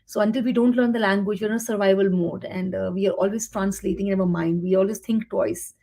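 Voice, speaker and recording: Woman with a soft, husky voice. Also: medium pitch, fairly even intonation, brisk. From India. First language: Hindi. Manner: thoughtful, with many fillers and restarts. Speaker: guest writer and translator. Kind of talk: conversational interview answers.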